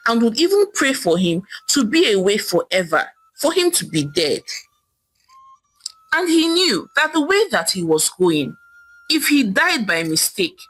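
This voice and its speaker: Bright, animated Nigerian woman storyteller. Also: a little high, dry recording, rough recording. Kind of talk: expressive oral folktale narration. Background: soft music.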